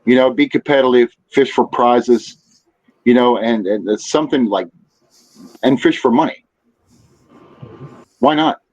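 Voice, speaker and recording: A man's voice: mid-range voice, big pitch swings, measured pace, vocal fry, pauses often, darkish tone. Dull lo-fi recording of a rambling man. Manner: rambling, peppered with "you know". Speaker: podcast guest. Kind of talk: casual chat, giving advice. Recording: dull, lo-fi audio.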